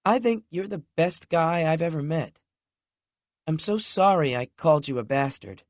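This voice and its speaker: A man's voice: animated, moderate pace, dry recording, natural voice. A man voicing a tearful, wobbly young woman. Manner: wobbly, raised pitch, soft. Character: vulnerable emotional woman, Lexi, worried and tender.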